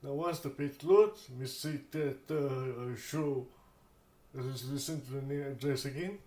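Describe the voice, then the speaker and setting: A man hosting a casual tech demonstration, speaking haltingly and often repeating words. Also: medium pitch, warm tone, echoey room. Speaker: tech channel host.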